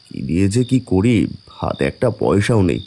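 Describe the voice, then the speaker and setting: A man's voice: on the low side, very animated, moderate pace, gravelly, dark tone, breathy edge, exaggerated voice. A man voicing a poor, mild-mannered village farmer and father in a cartoon fairy tale. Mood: dejected.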